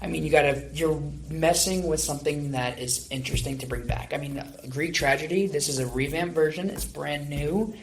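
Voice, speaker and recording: A man's voice: on the high side, moderate pace, creaky, hardly any pauses, slight background noise, rough recording. A man in his late teens hosting a casual podcast interview, talking in a rambling, encouraging way. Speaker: podcast host.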